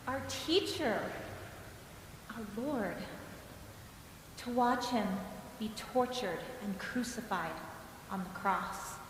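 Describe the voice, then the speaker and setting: Grieving woman disciple played by a woman: highish voice, earnest, measured phrases, church drama in a cavernous hall with faint noise. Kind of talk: church dramatic monologue reading.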